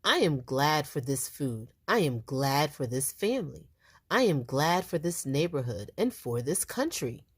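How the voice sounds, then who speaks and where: Woman as a brisk old grandma, lively pitch. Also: slightly rough, dry recording, studio quality, heavily acted. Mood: thankful.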